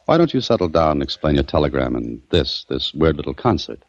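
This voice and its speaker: A skeptical, brisk male adventurer from an old radio drama. His deep voice is clipped and sardonic, with lively inflection. The sound is dull and very dry, like a vintage broadcast. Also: no echo, exaggerated voice. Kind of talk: old-time radio drama acting and narration.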